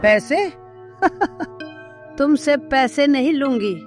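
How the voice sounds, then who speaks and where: A man's voice: very high voice, expressive, very slow, faint music behind, pitched above the performer's own voice, heavily acted. A man voicing a sly ghost woman in a horror cartoon, coaxing in a creepy way. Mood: eerie amusement.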